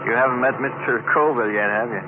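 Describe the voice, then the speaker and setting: An actor playing a deferential, tactful chauffeur and office aide, polite and careful, in 1950s radio drama style. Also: male voice, mid-range voice, fast, lightly acted. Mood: evasive, careful. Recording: tinny, noisy old radio recording.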